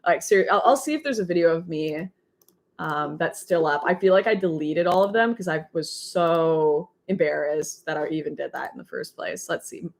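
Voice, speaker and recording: A young woman with a deep voice, hosting a casual livestream and reading out chat messages. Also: moderate pace. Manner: chatty, rambling, laughs often.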